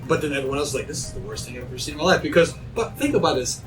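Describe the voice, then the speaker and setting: A man's voice: on the high side, lively intonation, racing, distant mic, over music, poor audio. A man hosting a casual panel chat, rambling and slipping into acted-out bits of dialogue. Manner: rambling, acts out imagined dialogue. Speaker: host of a panel discussion. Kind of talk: casual chat about video game films.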